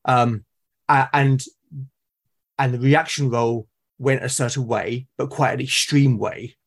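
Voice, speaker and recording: A man's voice: medium pitch, fairly even intonation, slow pace. A man in a conversational interview as a podcast guest, explaining his creative process and often repeating words as he thinks aloud. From Britain.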